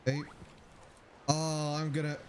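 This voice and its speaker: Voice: medium pitch, monotone, slow, noisy background. A game streamer giving casual, offhand gameplay commentary, often muttering. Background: game music.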